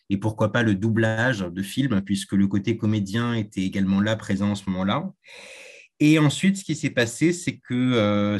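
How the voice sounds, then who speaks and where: A man whose first language is Spanish, a tour guide, answering interview questions thoughtfully with frequent hesitations. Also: medium pitch.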